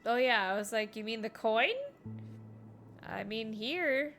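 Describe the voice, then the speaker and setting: A woman's voice: a little high, unhurried, fairly bright tone, faint music behind. A woman streaming a game, reacting and reading along in a playful, jokey way. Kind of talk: playful reactions and dialogue read-along while gaming.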